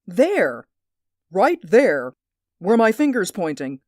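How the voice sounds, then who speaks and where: A woman's voice: medium pitch, sing-song, takes it slowly, a little mellow, no echo, studio quality. A woman playing an anxious, insistent man in a radio drama, speaking earnestly and emphatically. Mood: excited, insistent. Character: anxious, insistent male stage actor spying on neighbours.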